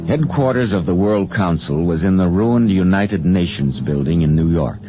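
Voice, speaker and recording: A man's voice: low voice, animated, normal speed, over loud music. An actor playing an earnest, weary male scientist in 1950s radio science-fiction drama, speaking in a grave, literary manner. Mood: grave, somber. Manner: grave, literary first-person narration and earnest dialogue. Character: male scientist doctor narrating a post-atomic future, earnest, weary. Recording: dull, hissy old radio recording.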